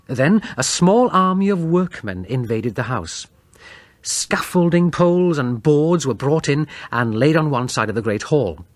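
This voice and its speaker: Male narrator for Gothic audiobook: theatrical, very varied intonation, lightly raised pitch, dry sound. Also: no echo. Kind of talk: dramatic scripted narration of a Gothic tale.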